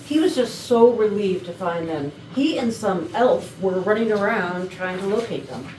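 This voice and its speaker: A woman's voice: mid-range voice, expressive, normal speed, mellow, lightly acted. An actress playing a frazzled young woman in a comedy play reading, exasperated and emphatic. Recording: distant, echoey room with faint noise.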